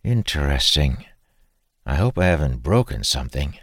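A proud, prickly old soul trapped in a youthful body, voiced by a male reader, formal and easily affronted. His voice jumps up in pitch when he bristles, in a dry, close recording. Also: very animated, normal speed, dry recording, heavily acted. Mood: wry. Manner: indignant, fussy, formal.

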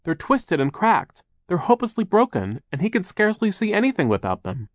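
A man's voice: mid-range voice, sing-song, fairly quick, darkish tone, dry recording, studio quality, pitched above the performer's own voice. A man voicing an earnest, polite orphan girl, an anxious older sister, in an audiobook. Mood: distressed.

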